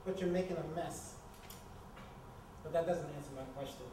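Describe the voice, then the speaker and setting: Male actor as sardonic, teasing housemate, higher-pitched voice, live comedy reading, far from mic with heavy room echo. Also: normal speed, lightly acted. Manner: sardonic, needling. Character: wry, teasing, unbothered male housemate, messier one. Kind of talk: staged screenplay reading, comedic. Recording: distant, very echoey room, noisy ambience.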